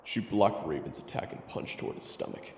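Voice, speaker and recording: A man's voice: low voice, lively intonation, fairly quick, a little mellow, slight background noise. A man giving scripted, dramatic narration for an audio roleplay story. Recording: echoey reverb, music behind.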